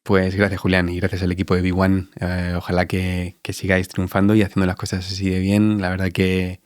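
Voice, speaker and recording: A man in his thirties with an Andalusian Spanish accent, answering interview questions in a reflective, halting way with many fillers. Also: low-pitched, completely flat delivery, no room sound, studio quality. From Spain. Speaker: dentist guest.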